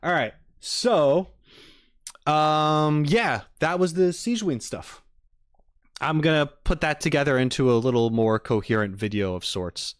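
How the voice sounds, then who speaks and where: A man hosting a livestream, chatting casually and playfully with viewers, rambling and often swinging up into high-pitched emphasis. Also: expressive, measured pace, clean tone, no echo, studio quality. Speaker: streamer and reaction video host.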